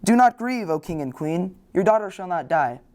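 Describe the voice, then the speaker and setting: A wise young fairy woman from a storybook , voiced by a reader. She is formal, gentle in intent and reassuring, with a slightly lifted mid-range voice. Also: medium pitch, animated, normal speed, voice pushed up, lightly acted.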